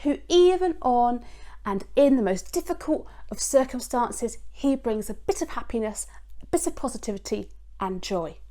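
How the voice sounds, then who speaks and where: A woman giving a children's church talk in an expressive storytelling way, speaking simply and directly to her listeners. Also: fairly high pitch, normal speed.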